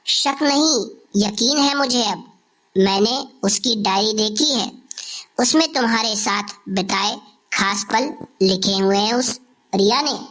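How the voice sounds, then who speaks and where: A woman's voice: mid-range voice, slow pace, a bit bright, a little hiss or hum. A woman playing a suspicious, jealous schoolteacher with an accusing manner in scripted cartoon dialogue.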